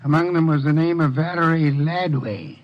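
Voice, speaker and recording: An actor playing an imperious genius detective in an old-time radio drama, speaking in a pompous, precise, curt manner. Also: male voice, on the high side, lively intonation, moderate pace, dull audio, lightly acted. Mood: smug. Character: imperious, dry genius private detective, a mature man. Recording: vintage radio drama recording.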